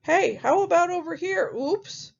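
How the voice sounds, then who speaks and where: A woman voicing small, cheeky, excitable male pigs from a storybook, with bouncy exclamations. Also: extremely high pitch, a little slow, vocal fry, dull audio, pitched above the performer's own voice, exaggerated voice. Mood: eager. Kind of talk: storybook read-aloud character voice.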